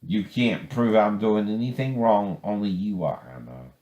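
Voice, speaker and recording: A man's voice: lowish voice, unhurried, darkish tone, muffled recording. A man giving rambling, informal commentary in a bitter, profane, confrontational manner. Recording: echoey, roomy sound.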